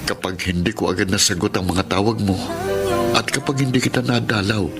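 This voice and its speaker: An actor playing an evasive, distant young boyfriend in a Filipino radio drama, guarded and impatient. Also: male voice, lowish voice, fairly even intonation, brisk, a bit bright, natural voice.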